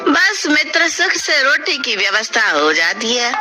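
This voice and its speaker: A woman's voice: sits high, very animated, measured pace. A woman voicing an honest, humble male village goldsmith who speaks earnestly in a cartoon moral story. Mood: earnest, disapproving. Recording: loud music behind the voice. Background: loud music.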